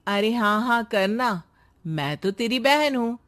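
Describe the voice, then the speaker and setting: Woman acting sly, high-voiced young daughter. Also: animated, slow pace, fairly bright tone. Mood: feigned warmth. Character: scheming young daughter, sly and falsely sweet. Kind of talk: acted moral story dialogue.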